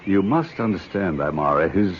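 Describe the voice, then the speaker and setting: Animated condescending professor uncle. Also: male voice, low voice, normal speed. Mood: insistent. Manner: hesitant, emphatic, explanatory. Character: candid, condescending professor uncle, fussily explaining. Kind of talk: 1970s radio drama acting. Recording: muffled old radio recording with noise.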